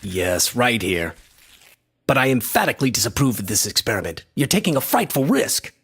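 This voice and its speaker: An actor playing an anxious, disapproving friend who also narrates a radio horror drama, earnest and formal with a husky voice. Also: male voice, medium pitch, big pitch swings, normal speed, fairly bright tone, exaggerated voice. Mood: reluctant, alarmed.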